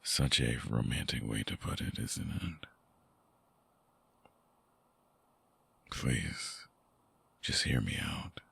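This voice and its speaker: A man playing a brooding, tender, wounded vampire ex-lover in an intimate ASMR audio drama, husky and breathy, with a halting, hushed delivery close to the mic. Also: extremely low pitch, expressive, exaggerated voice. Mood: anxious, pleading. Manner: slow, halting, sighing, hushed confiding. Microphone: close binaural mic, dry sound.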